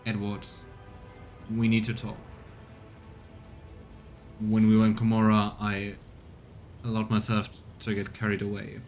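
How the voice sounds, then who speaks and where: A man's voice: monotone, a little slow, long pauses, a little mellow, soft background music. Man playing an earnest, hesitant inquisition agent. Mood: hesitant, grave. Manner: hesitant, formal, subdued. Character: earnest, restrained male inquisition agent, anxious lover. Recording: low rumbling background noise.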